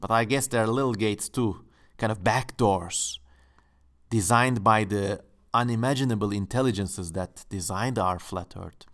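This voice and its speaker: A man's voice: lowish voice, unhurried, a bit bright. Playful man. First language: Turkish. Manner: playful, musing, tongue-in-cheek. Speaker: channel host. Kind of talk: casual exploratory commentary. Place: home.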